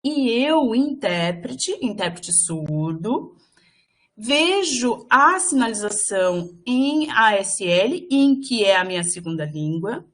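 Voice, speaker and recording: A woman interpreter giving live voice to a signed lecture, speaking in halting phrases with frequent fillers and restarts. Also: a little high, very animated, fairly bright tone. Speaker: sign language voice interpreter for a workshop.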